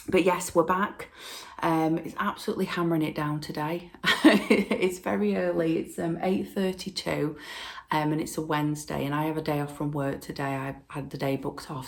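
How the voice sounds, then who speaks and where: Hoarse, gravelly low-voiced woman. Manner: chatty and rambling, lots of ums. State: hoarse, getting a cold. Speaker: flosstube host. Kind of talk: casual chat to camera.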